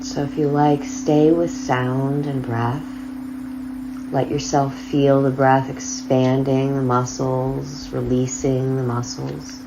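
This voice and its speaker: A woman guiding a body scan meditation, speaking softly with long, drawn-out pauses. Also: a bass voice, flat delivery, creaky. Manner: slow, soft, drawn-out phrasing with long pauses. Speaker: meditation guide. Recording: slightly dull lo-fi sound over loud singing bowl music. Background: crystal singing bowls and flowing river.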